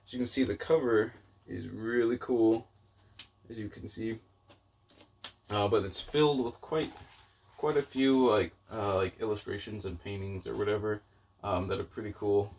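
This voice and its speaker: A man with a fairly low voice chats casually to his viewers as a vlog host. He rambles and hedges, often doubling back to rephrase what he has just begun to say. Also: fairly even intonation, moderate pace, creaky, long pauses, a little mellow, a little hiss or hum. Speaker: book vlogger and channel host. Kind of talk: casual chat to camera.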